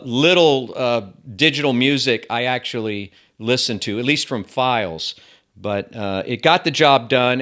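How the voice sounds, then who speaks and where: A man's voice: on the low side, a bit bright, clean tone. A man giving a casual, rambling product review as a channel host, with wry asides.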